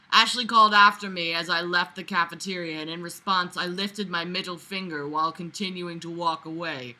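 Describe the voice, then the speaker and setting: A woman's voice: on the low side, bright, forceful tone, low-quality recording. A young woman reading a book aloud and breaking in with mocking, incredulous, sweary commentary in a theatrical, exasperated manner. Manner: mocking, sarcastic. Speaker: host of a comedic book read-along.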